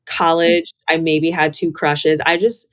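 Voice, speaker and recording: A young woman with an American accent and a low-pitched voice. She speaks as a podcast guest in a casual, candid style, reflective and conversational, with plenty of informal phrasing. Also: flat delivery, measured pace, fairly bright tone. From Chicago, United States. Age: young adult.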